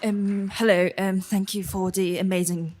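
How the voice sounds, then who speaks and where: A woman's voice: mid-range voice, little pitch movement, moderate pace, a loud crowd, very noisy. A woman from Hong Kong asking a spontaneous question from the audience, hesitant and often rephrasing herself.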